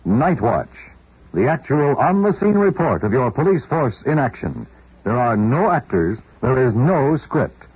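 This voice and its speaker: An American male announcer with a husky voice and animated pitch delivers a formal, emphatic 1950s radio introduction. The sound is dry and dull, like vintage broadcast audio. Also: measured pace, no echo.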